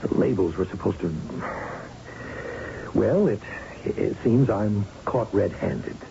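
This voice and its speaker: A condescending male boss, smooth in manner and scheming. His voice is gravelly and swoops expressively as he patronizes. It is heard on a muffled vintage radio drama recording. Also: lowish voice, expressive, heavily acted. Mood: sly, taunting. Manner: urbane, patronizing, deliberate. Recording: muffled, faintly noisy old radio recording.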